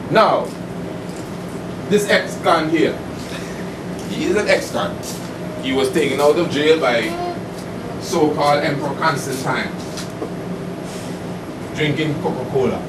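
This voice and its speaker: High-pitched man lecturing in an echoey room. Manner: emphatic, didactic, with repetition and rhetorical questions. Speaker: preacher and lecturer. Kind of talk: informal esoteric lecture to a live audience. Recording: distant mic, echoey room, noisy hum.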